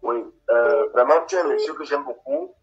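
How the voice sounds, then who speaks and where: A man with a Cameroonian French accent, a caller speaking earnestly and haltingly with many fillers. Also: mid-range voice, very animated, faint music behind. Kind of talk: live phone-in chat. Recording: dull lo-fi phone line.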